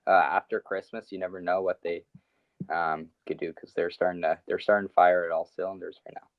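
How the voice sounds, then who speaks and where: Young male podcast co-host, low pitch, lo-fi dry sound, hesitant and laughing. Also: little pitch movement, fairly quick, no echo. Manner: laughs often, hesitant with many ums. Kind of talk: casual sports podcast chat. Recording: dull, dry podcast audio.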